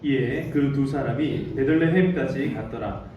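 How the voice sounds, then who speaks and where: A man's voice: mid-range voice, a little slow, dull audio. A male pastor from Daegu preaching a Sunday sermon, telling a story and putting questions to the congregation. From Daegu, South Korea. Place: church sanctuary. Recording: echoey sanctuary, distant mic.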